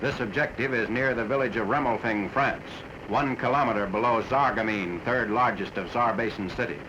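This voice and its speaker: A man reading a scripted 1940s military newsreel narration in a formal, factual, measured manner. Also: on the low side. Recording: dull, noisy 1940s film soundtrack.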